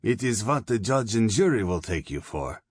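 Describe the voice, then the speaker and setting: A man voicing a plump, soft-spoken middle-aged German colonel with a German accent, courteous and probing. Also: fairly low pitch, very animated, normal speed, husky, no room sound, clean studio sound, pitched above the performer's own voice.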